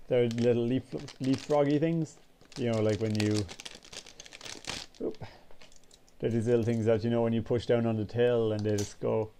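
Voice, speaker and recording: A man's voice: mid-range voice, moderate pace, a little hiss or hum. A man, congested and sniffly, rambling casually in a vlog. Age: 20s. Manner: rambling, relaxed, casual swearing. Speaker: vlogger. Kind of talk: casual chat.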